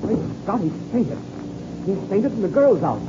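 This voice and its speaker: An actor playing an excitable, urgent airplane pilot in a 1930s radio adventure serial. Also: male voice, high voice, normal speed. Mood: alarmed. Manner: urgent, exclamatory. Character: excitable adult male airplane pilot, alarmed, loyal. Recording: muffled, noisy old radio recording.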